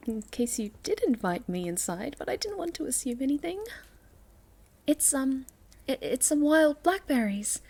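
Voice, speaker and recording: Woman acting as a timid young moth girl, high-pitched, sweet and curious, soft-spoken, stammering, cozy ASMR roleplay. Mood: bashful, grateful.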